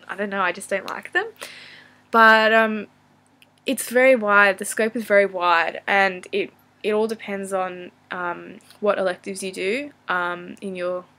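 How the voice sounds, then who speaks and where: A young Australian woman talks off the cuff to her viewers. Her pitch sits in the middle range for a woman. She wanders through her thoughts in an apologetic, self-deprecating way, like an informal video diary. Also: sharp tone. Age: young adult. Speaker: vlogger answering viewer questions. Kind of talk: casual unscripted chat to camera.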